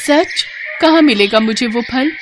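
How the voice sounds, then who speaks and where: A woman voicing a gentle, long-suffering young daughter-in-law in a cartoon story, in a plaintive, emotive way. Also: expressive, unhurried, noisy background. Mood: hopeful, grateful. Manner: plaintive, emotive, raised pitch.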